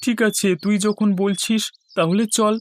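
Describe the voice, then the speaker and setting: A man's voice: high voice, flat delivery, normal speed, a little hiss or hum, lightly acted. A man voicing a poor, ailing, downcast fellow in a fairy-tale cartoon, plaintive and resigned. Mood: weak, resigned. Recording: music playing under the voice.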